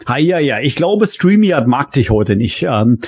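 A man's voice: mid-range voice, sing-song, a little slow, darkish tone, breathy edge, no room sound. A man hosting a fan podcast in a casual, conversational style. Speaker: podcast host.

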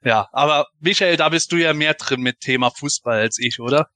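A man's voice: a little high, fairly quick, sharp tone, no room sound. A man hosting a casual live fan podcast in a chatty, bantering way. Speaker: podcast host.

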